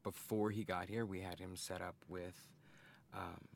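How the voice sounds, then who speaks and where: Brief: forties man, plain-spoken partner, very deep gravelly voice, faint background noise. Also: level tone, a little slow. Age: 40s. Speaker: interviewee's partner. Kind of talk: oral history interview.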